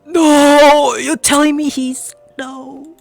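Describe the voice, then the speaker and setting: A woman giving excitable, theatrical gameplay commentary, swinging between muttering and shrieking. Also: sits high, extremely slow. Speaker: gaming video host. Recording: game music clearly behind the voice.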